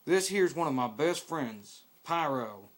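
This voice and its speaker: A man's voice: a little high, expressive, unhurried. A man voicing a friendly engineer with a put-on Texan drawl. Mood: reassuring. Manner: drawling, folksy.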